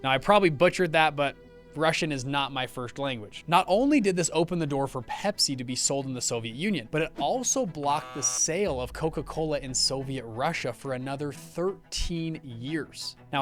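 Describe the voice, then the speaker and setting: A man's voice: on the high side, a bit bright. Upbeat man telling a business story. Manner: upbeat, jokey asides to camera. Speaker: host. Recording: light background music.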